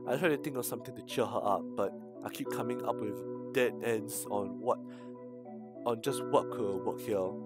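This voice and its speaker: A man's voice: mid-range voice, moderate pace, over loud music. A man live-streaming a visual novel, reading it aloud with slangy commentary and frequent stumbles over the text. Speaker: game streamer.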